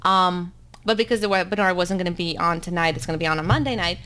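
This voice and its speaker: A woman's voice: lowish voice, quick pace, fairly bright tone, clear, resonant tone. A woman in her thirties from South Africa, Afrikaans her first language, with a South African accent, teaching bloggers in a chatty, upbeat live webinar from home, with frequent ums.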